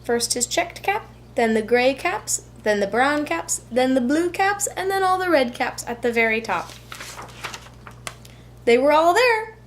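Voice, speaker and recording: Woman preschool teacher, high lively voice, expressive rhythmic picture-book narration, light background noise. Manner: deliberate, rhythmic storytelling. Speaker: preschool teacher reading aloud. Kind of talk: expressive children's storybook narration.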